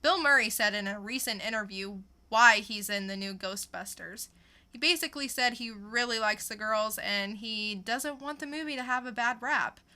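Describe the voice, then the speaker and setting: A woman's voice: on the high side, normal speed, sharp tone. A woman co-hosting a podcast, reading scripted pop-culture news and adding dry, sarcastic punchlines. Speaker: podcast co-host.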